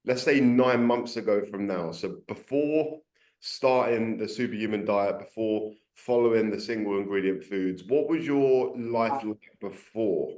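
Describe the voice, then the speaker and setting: Low-voiced upbeat male interviewer. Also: unhurried, a little mellow. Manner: upbeat, loose, filler-heavy. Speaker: coaching program host interviewer. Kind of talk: casual interview. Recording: roomy echo.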